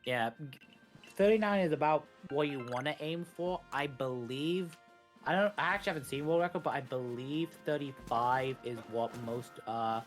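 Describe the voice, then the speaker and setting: A man talks casually as co-commentator on a gaming run. He explains in a halting way, repeating and correcting himself, with music in the background. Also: fairly high pitch. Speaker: speedrun co-commentator. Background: video game music.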